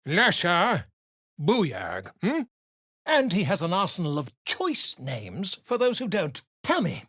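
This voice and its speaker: An actor playing a pompous, self-important male bard and author in a fantasy video game, speaking in florid, grandiose phrases with a husky voice. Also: high voice, a bit bright, no room sound, clean studio sound, heavily acted. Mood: inquisitive, fussy. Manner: florid, grandiose, wordy delivery. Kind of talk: theatrical fantasy game dialogue.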